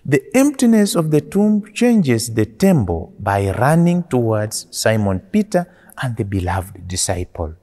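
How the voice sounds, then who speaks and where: A man in his forties, a priest giving a homily in slow, deliberate preaching with rising and falling emphasis, recorded indoors in a small church. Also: mid-range voice, big pitch swings, dark tone, in a large hall.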